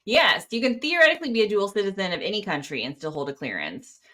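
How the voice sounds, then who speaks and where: A woman with an American accent explaining things informally as an interview guest, in an animated, colloquial way. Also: medium pitch, quick pace. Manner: animated, colloquial, quotes imagined speakers. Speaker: interview guest, security clearance expert.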